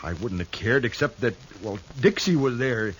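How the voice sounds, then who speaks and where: Actor as frightened, stammering simple young rancher. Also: male voice, medium pitch, big pitch swings, close to an everyday voice. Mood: anguished, remorseful. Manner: stammering, halting, pleading. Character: frightened simple-minded young horse rancher, pleading and remorseful. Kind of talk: 1950s western radio drama acting. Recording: muffled old radio recording, faint noise.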